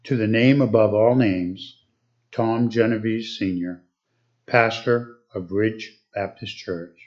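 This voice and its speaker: A man reading a formal letter aloud to a church congregation in a steady way. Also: lowish voice, slow pace, highs cut off.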